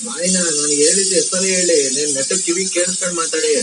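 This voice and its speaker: Fast-talking, needling man on a prank call. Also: sits high, fairly even intonation, recorded from a distance, heavy background noise. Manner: provocative, argumentative, needling. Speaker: radio jockey making prank calls.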